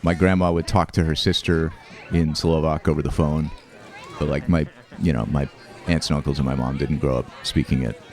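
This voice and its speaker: A man hosting a show, talking casually and reflectively over home movies, with fillers, restarts and soft laughs. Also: very deep voice, steady tone, normal speed. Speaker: host.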